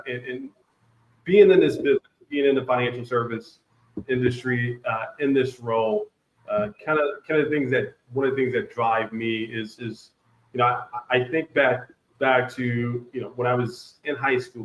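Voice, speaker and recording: A man's voice: medium pitch, fairly bright tone. A man in his thirties speaking as an interview guest, answering conversationally and often repeating words as he goes. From Liberia, grew up in Ohio. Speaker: guest, financial services wholesaler. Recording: roomy, muffled, noisy sound.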